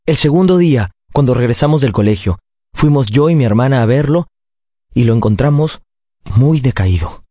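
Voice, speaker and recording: An actor narrating a radio drama as a grown man recounting his childhood, in a measured, solemn and tender way. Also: mid-range voice, lively intonation, dark tone, no room sound, studio quality, close to an everyday voice. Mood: solemn, wistful.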